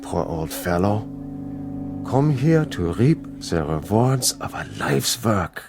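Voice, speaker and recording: Shaken earnest bystander, slow, highly animated pitch, radio drama. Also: male voice. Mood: pitying, bewildered. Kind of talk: dramatic radio play acting. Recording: radio drama with music underneath.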